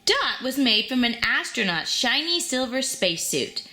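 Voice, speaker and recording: A woman reading a children's storybook aloud in an animated, expressive storytelling manner. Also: sits high, moderate pace. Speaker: storybook reader.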